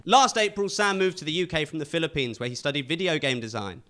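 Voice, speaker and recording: A man's voice: a little high, fast talker, sharp tone. A man hosting a comedy panel show, reading scripted jokes to a live audience with punchy timing.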